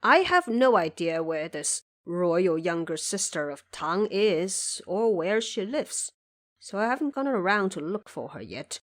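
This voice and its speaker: A woman's voice: on the low side, very animated, a little slow. A woman playing a humble, loyal male commoner who gives a deferential, earnest account in an audio drama. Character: humble, loyal male commoner subject reporting to his emperor. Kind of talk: audio drama character acting.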